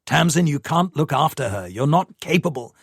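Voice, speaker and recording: A man's voice: on the high side, expressive, normal speed, husky, no room sound, studio quality, voice pushed up. A man voicing a firm, controlling husband. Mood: pleading. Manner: firm, insistent reasoning. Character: controlling man, defensive and coldly persuasive. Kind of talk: audiobook dialogue.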